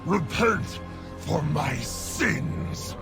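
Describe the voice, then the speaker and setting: Over loud music, a proud orc warchief from a fantasy game. The voice is gravelly and very slow, grandiose and defiant, as if every phrase were a proclamation. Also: male voice, on the high side, lively intonation, heavily acted. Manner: slow, grandiose, declamatory delivery. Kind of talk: dramatic game cinematic acting. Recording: loud dramatic music under the voice.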